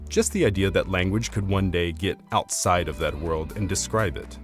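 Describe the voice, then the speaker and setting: Deep-voiced man narrating a formal video essay. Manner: articulate, formal, academic. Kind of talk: scripted argumentative narration.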